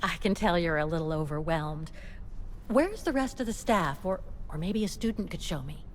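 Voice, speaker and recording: An actress playing a polite, earnest and determined visitor, the heroine of an adventure game, speaking conversationally. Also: female voice, fairly low pitch, expressive, normal speed, in a large hall, slight background noise, natural voice. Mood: earnest, pleading. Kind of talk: video game dialogue acting.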